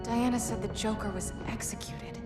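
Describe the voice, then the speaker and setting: A woman's voice: on the high side, steady tone, normal speed, lightly acted. A woman playing an earnest, defiant young alien superheroine in a dramatic video game scene, speaking with emotional conviction. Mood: accusing. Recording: roomy echo, music behind.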